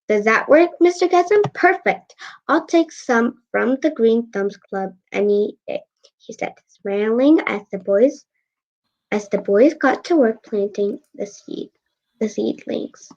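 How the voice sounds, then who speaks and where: Child reader, girl of about eight, high voice, reading a storybook with repeats and stumbles, muffled lo-fi sound. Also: animated, measured pace, darkish tone. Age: child, 8 years old. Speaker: young guest co-reader. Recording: dull lo-fi audio.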